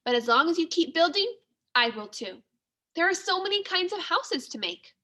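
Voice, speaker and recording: A woman's voice: extremely high pitch, very animated, moderate pace, sharp tone, pitched above the performer's own voice, full character voice. A woman reading a storybook as an adventurous, cheerful female mouse with an eager, encouraging manner. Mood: reassuring.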